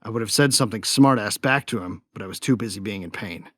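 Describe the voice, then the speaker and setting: An actor playing a sardonic, hot-tempered young bounty hunter who narrates an audio drama in a wry, informal way. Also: male voice, fairly low pitch, fast, fairly bright tone, no room sound, clean studio sound. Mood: angry, wry. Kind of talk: first-person scripted narration of an audio drama. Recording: music behind the voice.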